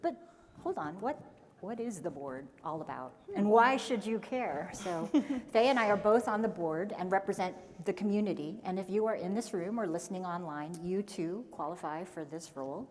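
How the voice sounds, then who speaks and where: A woman's voice: on the low side, lively intonation, moderate pace. Upbeat woman co-hosting a conference. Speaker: event co-host. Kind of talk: conference stage announcements. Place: large conference hall. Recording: long hall reverb, faint ambience.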